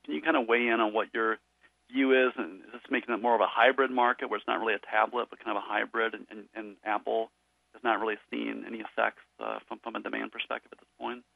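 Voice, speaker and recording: A man's voice: lowish voice, steady tone, fast, vocal fry, no echo. A man speaking as a financial analyst, putting questions to executives on an earnings call. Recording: dry, narrow phone line.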